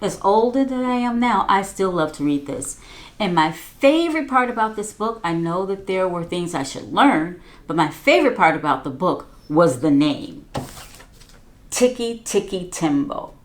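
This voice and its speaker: A woman's voice: on the low side, animated, a little slow, reverberant. A woman reading a children's picture book aloud as a teacher, with chatty asides to young listeners. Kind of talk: storybook read-aloud.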